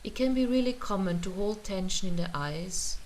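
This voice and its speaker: A woman teaching meditation, guiding a relaxation in a gentle, soothing manner with pauses. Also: medium pitch. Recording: noticeable ambient background noise.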